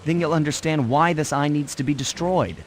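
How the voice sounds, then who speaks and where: An actor playing a cocky, smooth young master thief in a video game, wry, self-assured and easygoing. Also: male voice, a little high, brisk, slight background noise, close to an everyday voice. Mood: defiant. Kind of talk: video game acting and storybook-like narration. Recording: very loud music behind.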